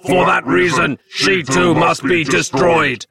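A man playing a pompous, raging demonic typewriter villain in a comic horror story, declaiming grandly and wordily in a harsh, raspy voice. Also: on the high side, steady tone, distant mic, a loud crowd. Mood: pompous, menacing. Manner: declamatory, drawn-out, grandiose delivery.

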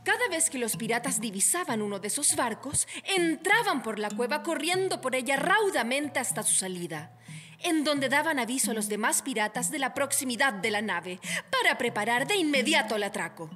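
A woman's voice: high voice, very animated, normal speed, fairly bright tone, breathy edge, exaggerated voice. A woman reading scripted storytelling narration for a radio play. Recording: long reverb, music behind.

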